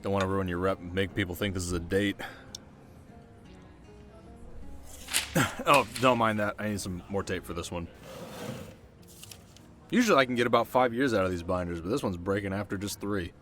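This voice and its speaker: Man playing a cocky, soft-hearted delinquent boy. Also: deep voice, a little fast, over music, natural voice. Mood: friendly, proud. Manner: slangy, casual, stumbling when flustered. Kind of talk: ASMR roleplay acting, addressing the listener.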